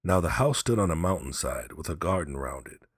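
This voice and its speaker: A man's voice: extremely low pitch, lively intonation, a little fast, husky, no echo, studio quality. A man reading a story aloud as scripted storybook narration. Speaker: audiobook narrator, story reader.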